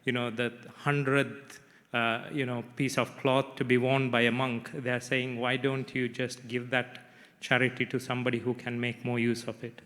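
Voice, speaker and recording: Monotone moderator in an echoey hall. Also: male voice, medium pitch. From Sri Lanka. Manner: measured, with frequent "you know" fillers. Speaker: panel moderator. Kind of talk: moderating a panel discussion. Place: conference hall.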